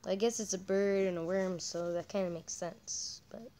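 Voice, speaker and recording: A woman doing casual, halting game commentary as a channel host, her voice croaky from a cold. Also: medium pitch, fairly even intonation, mellow. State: croaky with a cold, coughing and throat-clearing.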